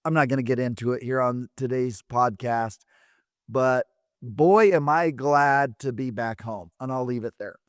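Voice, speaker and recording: A man hosts a podcast in a loose, chatty manner, his voice a little worn out. His tone stays smooth and resonant, and the recording is dry and close. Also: medium pitch, a little slow, no echo. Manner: relaxed, rambling with fillers. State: losing his voice. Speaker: podcast host. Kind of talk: conversational interview.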